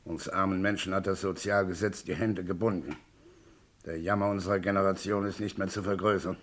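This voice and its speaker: An actor playing a snobbish, bored businessman knight in a radio crime play, gruff and grumbling. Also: sits low, steady tone, a little fast, fairly bright tone.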